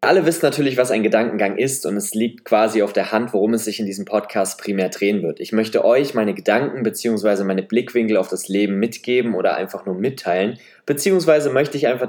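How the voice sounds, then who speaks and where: A man's voice: lowish voice, a little fast, darkish tone. A man in his twenties with a nasal voice and a slight Saxon German accent, hosting a solo podcast in a chatty, self-deprecating way. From Leipzig, eastern Germany. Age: 20s. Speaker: podcast host. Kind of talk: semi-scripted solo podcast monologue. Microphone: podcast microphone.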